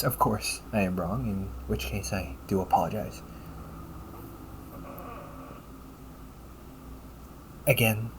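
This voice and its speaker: A man playing a polite, caring, gently teasing AI companion in an intimate audio roleplay, speaking formally to the listener. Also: on the low side, natural voice, poor audio. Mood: apologetic. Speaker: voice actor. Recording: noticeable background noise.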